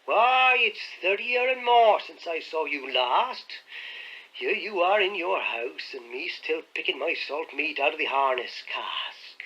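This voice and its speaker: A man doing a slow, sly old sailor. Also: a little high, lively intonation, pushed and bright, full character voice. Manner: slow, rough, wheedling speech. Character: wizened old sailor, crafty and sinister. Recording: dull lo-fi audiobook recording.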